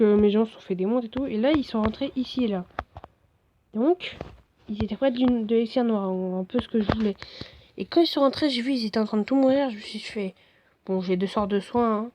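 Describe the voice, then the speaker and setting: A hoarse woman giving relaxed, rambling commentary over her own game replays, full of fillers and restarts. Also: fairly high pitch, dry recording, dull audio. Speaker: gaming video host. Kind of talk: casual gameplay commentary.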